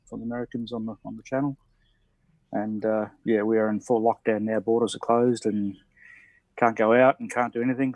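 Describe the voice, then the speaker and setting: Casual Australian man, monotone, modest, rambling, dry audio. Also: mid-range voice, no echo, low-quality recording. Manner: relaxed, rambling, self-deprecating. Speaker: ham radio net participant. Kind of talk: casual self-introduction on a video call. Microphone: video call.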